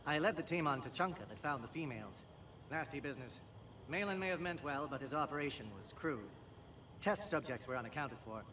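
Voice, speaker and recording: A man playing a brisk amphibian alien military officer in a video game, speaking in a clipped, formal, dry way. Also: a little high, lively intonation, bright, forceful tone. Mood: brisk, wry. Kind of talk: video game cutscene acting. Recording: faint background noise in game capture.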